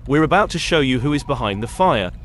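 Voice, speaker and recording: A man's voice: mid-range voice, animated, fast, close to an everyday voice. Man as a formal policeman, slight background noise. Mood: official. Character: formal, matter-of-fact male police officer. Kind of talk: audio drama acting.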